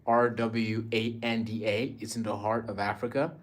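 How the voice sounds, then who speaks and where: A young man from Rwanda chatting casually on a phone livestream at home, showing his art and answering viewer comments in a relaxed, rambling way. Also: on the low side, moderate pace. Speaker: artist livestreaming. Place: indoors at home among his paintings. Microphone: phone camera, moving around.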